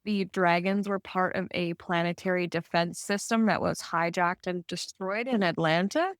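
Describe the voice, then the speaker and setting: A woman's voice: lowish voice, moderate pace, no echo, clean studio sound. A woman in casual conversation, speaking in a chatty, informal way with lots of "like" in her sentences. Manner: chatty, informal, frequent use of "like". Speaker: podcast co-host or guest.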